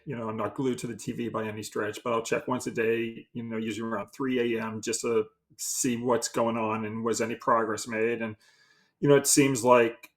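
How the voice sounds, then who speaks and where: A man, a sports handicapper, talking in a halting, modest way with lots of fillers. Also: mid-range voice. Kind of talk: casual interview talk.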